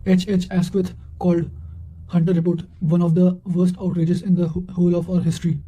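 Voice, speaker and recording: A man with a high voice reads quotations from revision notes. His tone is very soft-edged and dark, and his delivery stays measured and fairly flat, with an occasional stumble over a word. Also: poor audio. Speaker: narrator of study revision notes. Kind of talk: reading quotations aloud from notes.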